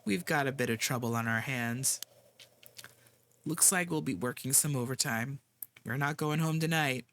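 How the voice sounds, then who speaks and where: A streamer voicing a weary, unfazed boss in a clipped, deadpan, low-key way. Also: moderate pace, a little hiss or hum, lightly acted. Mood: deadpan, grave. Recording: loud game music behind.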